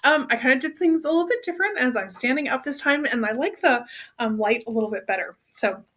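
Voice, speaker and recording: A woman's voice: high-pitched, animated, quick pace, a bit bright. A woman reading a children's novel aloud as a storyteller. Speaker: read-aloud reader.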